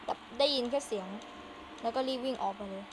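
Casual gamer commentary over clear background noise. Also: female voice, on the high side, fast. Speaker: gaming video host. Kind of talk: casual game playthrough commentary. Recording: clearly noisy home recording.

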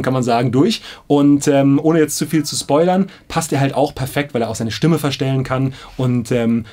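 A man's voice: mid-range voice, a little mellow, slightly rough. A man in his thirties talking casually and enthusiastically to camera as a producer in a small studio. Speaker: audio drama producer and casting director. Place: small home studio indoors.

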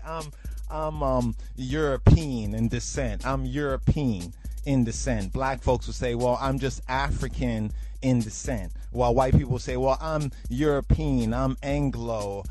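A man's voice: mid-range voice, darkish tone. A man hosting a religious talk podcast, rambling and preachy, often checking "you understand?". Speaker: podcast host.

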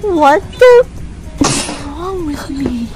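Woman voicing a panicky, high-pitched jester. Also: sing-song, extremely slow, heavily acted. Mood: distressed. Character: panicky, distressed female jester. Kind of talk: cartoon comic fan dub. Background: music.

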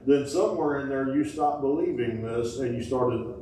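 A middle-aged man, preaching a sermon in a folksy call-and-response style, with sudden loud bursts. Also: mid-range voice, normal speed, slight background noise. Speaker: pastor. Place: small wooden-walled church sanctuary. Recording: echoey church from a distance.

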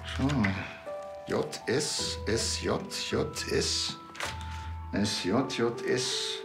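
An actor playing a blunt, unhurried, sardonic village policeman with a Bavarian accent in a TV crime comedy. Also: mid-range voice, gravelly, roomy sound, over music. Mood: dry, probing. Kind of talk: naturalistic TV crime comedy dialogue.

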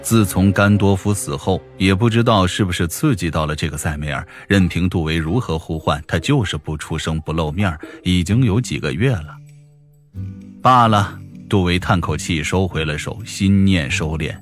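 Male audiobook narrator, low-pitched, dramatic storytelling over faint music. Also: normal speed. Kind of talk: expressive scripted fiction narration.

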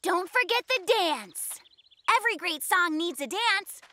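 A performer voicing a hesitant, careful young polar bear cub in a cartoon. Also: male voice, very high voice, lively intonation, measured pace, sharp tone, heavily acted. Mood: earnest. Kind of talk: cartoon voice acting. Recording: music playing underneath.

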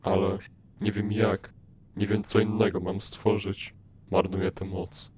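Man acting deep, weary old skeleton creator. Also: little pitch movement, measured pace, background noise. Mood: sorrowful, defeated. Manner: solemn, formal, subdued. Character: sorrowful, weary old skeleton creator, solemn and self-reproachful. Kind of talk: animated fan dub acting.

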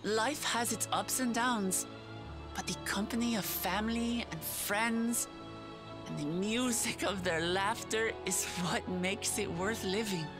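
A woman's voice: mid-range voice, unhurried, music in the background, lightly acted. An actress playing a young, idealistic lion-woman warrior princess in a video game, speaking earnestly and from the heart. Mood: reflective, sincere. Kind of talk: voiced game cutscene dialogue.

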